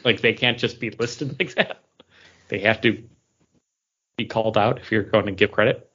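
A man's voice: fairly low pitch, moderate pace, fairly bright tone, slightly rough, dull audio. A man co-hosting a podcast, talking in a casual, conversational style about pop culture. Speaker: podcast co-host.

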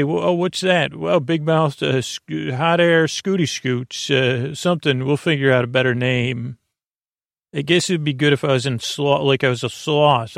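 An American man hosting a bedtime podcast in a creaky, soothing voice, meandering and stumbling through a halting monologue. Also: medium pitch, no room sound, clean studio sound. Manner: meandering, halting, stumbling over words and drifting off on tangents; soothing, lulling. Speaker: podcast host.

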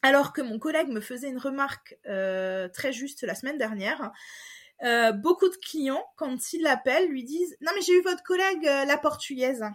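A young woman from France speaks as a podcast guest in a high voice. She talks through her story haltingly, with lots of fillers and false starts. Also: expressive. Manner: hesitant, many fillers and restarts. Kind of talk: casual personal testimony.

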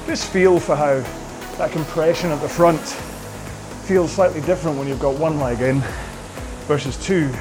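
A man coaching a rowing workout, calling out cues in an upbeat, jokey way, his voice slightly hoarse. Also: fairly high pitch, moderate pace. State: a bit hoarse. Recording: music playing behind. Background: workout music.

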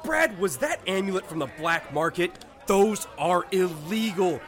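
A man's voice: high voice, lively intonation, a little slow, heavy background noise. A man acting in an audio drama as a worried, conscientious young gang member who protests fretfully. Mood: alarmed, fretful.

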